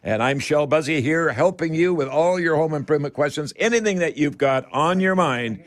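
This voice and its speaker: A Canadian man hosting a call-in radio show, chatting casually in a folksy, rambling, halting way. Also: a little high, animated. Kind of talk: casual live radio chat.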